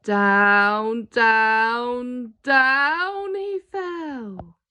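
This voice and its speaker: A woman's voice: on the high side, drawn-out words, smooth, highs cut off. A woman reading a children's picture book aloud expressively, with dramatic emphasis on key words. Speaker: storybook reader.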